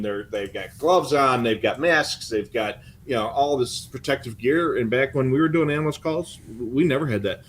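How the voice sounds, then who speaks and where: An older man explaining things in casual, halting conversation as a co-host. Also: medium pitch. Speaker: co-host and former firefighter. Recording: noticeable background noise.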